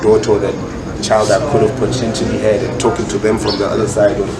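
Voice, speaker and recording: A man's voice: on the low side, monotone, fast talker. A South African man speaking casually and reflectively as a podcast guest, explaining himself at length and repeating words for emphasis. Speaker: guest musician, rapper. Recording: echoey room, loud background noise.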